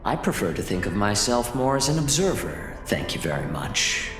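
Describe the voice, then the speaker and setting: An actor voicing an urbane, smugly courteous corporate manager in a video game, speaking in formal, measured, wordy phrases. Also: male voice, fairly low pitch, sing-song. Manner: formal, verbose, genteel, measured. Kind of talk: video game cutscene voice acting, expository. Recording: roomy echo, music underneath.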